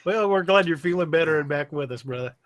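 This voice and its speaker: A man's voice: fairly high pitch, fast. A man casually hosting a livestream podcast in a folksy, chummy way. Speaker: podcast host.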